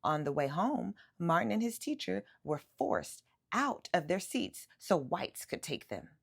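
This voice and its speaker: Lady doing a kids book read aloud, super expressive pitch swings, bit of creak in her voice. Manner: animated, emphatic storytelling with drawn-out words. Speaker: children's book reader.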